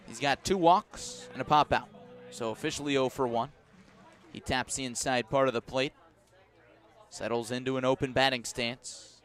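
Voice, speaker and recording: An American man doing live radio baseball play-by-play, measured in description and lifting his voice for the action. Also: a little high. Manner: measured description rising to excited calls. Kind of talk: live radio sports commentary.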